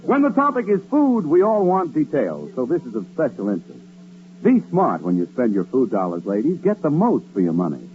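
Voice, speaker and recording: An American man reading a 1940s radio advertisement with an emphatic, measured sales delivery. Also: medium pitch, wildly swooping pitch, soft background music. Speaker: commercial announcer. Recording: tinny, noisy old radio recording.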